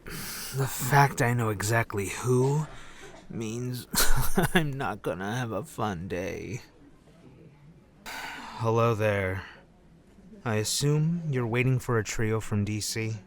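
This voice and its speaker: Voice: medium pitch, slow pace, long pauses. A performer playing a wry, uneasy male undercover agent in an audio drama, sardonic and hesitant.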